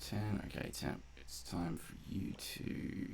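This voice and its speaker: Slow, low-voiced man with gravelly creak. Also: huge pitch swings, darkish tone, slight background noise. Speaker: gameplay video host. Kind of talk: casual gameplay commentary, thinking aloud.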